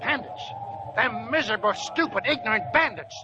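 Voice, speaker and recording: An actor playing a seasoned old American gold prospector, folksy and wry, in 1940s radio drama style. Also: male voice, high voice, lively intonation, normal speed. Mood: laughing bitterly. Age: older. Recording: dull, noisy old radio recording.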